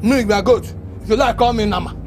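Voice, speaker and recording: High-pitched, ranting Nigerian tenant played by a man. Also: fast talker, over music, lightly acted. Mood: defiant, scornful. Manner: loud, taunting, repetitive ranting. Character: brash, mouthy male tenant, drinking and defiant. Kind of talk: Pidgin comedy drama acting.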